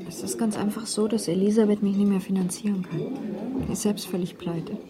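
A woman's voice: mid-range voice, steady tone, brisk, music in the background, lightly acted. An actress playing a frank, matter-of-fact woman in a radio drama. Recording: busy room with clattering dishes.

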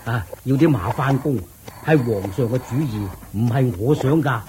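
A man's voice: medium pitch, moderate pace, background noise, close to an everyday voice. A man with a Hong Kong Cantonese accent playing a thrifty, sly royal chancellor in a radio drama, coaxing and explaining in a placating way. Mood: placating, dismissive. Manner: chuckling, coaxing, explaining patiently.

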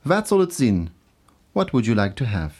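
A man presents a scripted language lesson in a mid-range voice with very lively intonation. He is didactic, setting out examples slowly and deliberately for learners. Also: mid-range voice, husky. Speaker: language teacher.

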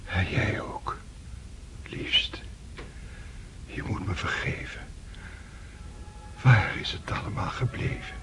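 A man's voice: low-pitched, takes it slowly, long pauses. An actor playing a frail, remorseful old count on his deathbed, speaking in weak whispers. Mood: feeble remorse. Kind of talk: radio play acting.

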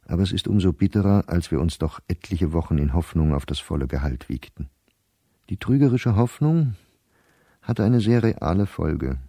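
Radio play: embittered, anxious diarist professor, measured delivery, dark mellow tone, close dry sound. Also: male voice, low-pitched, lively intonation, breathy edge, no echo, exaggerated voice. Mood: bitter, wry. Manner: measured, weary, dropping to near-whisper at grim thoughts. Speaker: diarist narrator. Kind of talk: literary radio play monologue, diary reading.

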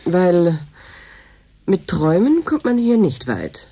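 A woman's voice: on the low side, very animated, takes it slowly, warm tone, husky, full character voice. A woman playing a world-weary, dryly officious boss of a remote reclamation station in a German radio drama. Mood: weary, sober.

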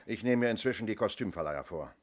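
An actor playing a senior police detective in a 1970s German radio play, curt, sardonic and commanding. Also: male voice, on the low side, expressive, fast. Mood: brusque, dry. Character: brusque, dry senior police detective, impatient boss.

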